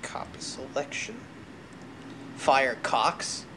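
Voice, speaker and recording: A man's voice: medium pitch, expressive, drawn-out words, sharp tone, a little hiss or hum. A man chatting casually as host of a gaming stream, rambling and digressive with bookish word choices. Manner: rambling, digressive, uses academic words.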